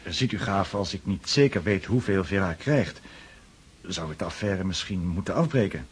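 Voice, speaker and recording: Low, husky, calculating suitor. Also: male voice, lively intonation, exaggerated voice. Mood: polite, calculating. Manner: deferential, measured, businesslike. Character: calculating, polite suitor haggling with future father-in-law. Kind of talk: radio drama acting.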